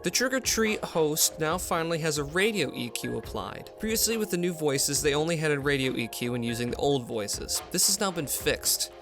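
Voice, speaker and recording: A man presenting a software update video in scripted explainer narration. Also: on the high side, expressive, normal speed, hardly any pauses. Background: music.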